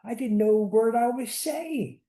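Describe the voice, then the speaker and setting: Halting older man in an echoey hall. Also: very high-pitched, warm tone. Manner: halting, restarts, Yiddish words. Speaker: synagogue founder on a panel. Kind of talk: personal storytelling on a panel. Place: community hall panel. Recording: echoey room.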